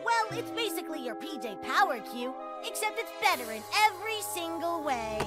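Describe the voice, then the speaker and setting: A very high, swooping voice of a boastful boy villain. The delivery is theatrical and drawn out, gloating like a little mad scientist, over loud music. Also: very animated, fairly quick. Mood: triumphant, scheming. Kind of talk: animated video game villain acting.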